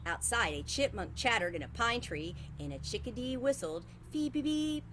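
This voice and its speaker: A woman's voice: medium pitch, animated, moderate pace, a bit bright, a little hiss or hum. A woman reading a children's book aloud expressively as a storyteller.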